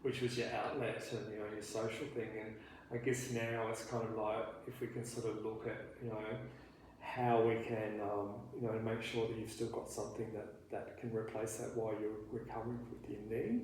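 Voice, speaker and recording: A man's voice: fairly low pitch, little pitch movement. Hesitant man in an echoey room. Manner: halting, many restarts and "you know" fillers, gently probing. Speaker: therapist in a training role play. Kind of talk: counselling session role play. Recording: very echoey room, faint background noise.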